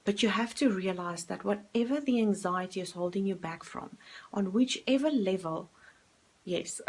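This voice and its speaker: A woman's voice: mid-range voice, darkish tone, husky. A woman, a coach talking straight to camera in a self-help video, earnest and direct, putting questions to herself and answering them. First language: Afrikaans.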